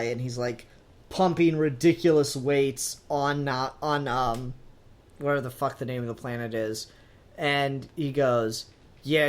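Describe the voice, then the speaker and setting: A podcast host chatting casually about comic books in a rambling, informal, mildly sweary way. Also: mid-range voice. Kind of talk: casual comic book discussion.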